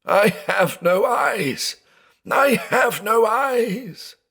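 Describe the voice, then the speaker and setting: A man's voice: sits low, big pitch swings, measured pace, exaggerated voice. A man voicing a terrified blind man alone at night, frantic and pleading, breaking into shrieks. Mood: panicked screaming.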